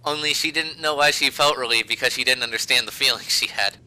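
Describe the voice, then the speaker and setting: A man's voice: medium pitch, fast, pushed and bright, poor audio. A man reading fan fiction aloud as a podcast host, amused and hamming up the emphasis. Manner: breaks into laughter while reading, hammy emphasis.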